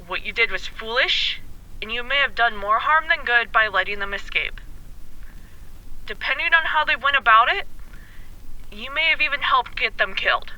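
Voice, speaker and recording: Blunt, weary female sheriff played by a woman, intensely forceful, for an audio drama interrogation. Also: fairly high pitch, muffled recording. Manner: blunt, commanding. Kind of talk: post-apocalyptic audio drama acting.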